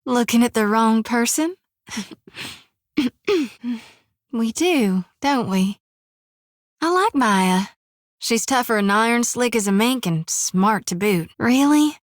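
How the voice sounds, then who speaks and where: Xoti, a folksy young priestess. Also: female voice, fairly high pitch, expressive, takes it slowly, pauses often, dry recording, studio quality. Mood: lovesick. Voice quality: folksy country turns of phrase. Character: young woman priestess, earnest and lovesick. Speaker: video game character. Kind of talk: video game voice acting.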